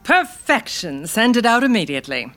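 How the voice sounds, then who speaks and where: A woman's voice: fairly high pitch, very animated, normal speed, fairly bright tone, soft background music. A woman playing an imperious, sugary, scheming queen and doting mother in an audio fairytale, gushing and condescending. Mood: brisk, imperious. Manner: gushing, condescending, theatrical endearments. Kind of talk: scripted audio fairytale comedy acting.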